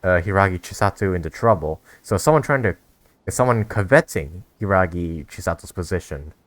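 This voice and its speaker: A man's voice: low voice, animated, a little fast, no echo. A man giving casual playthrough commentary on a game, hesitantly thinking aloud as he puzzles out the story. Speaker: gamer reacting to a game story. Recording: faint game music behind the voice.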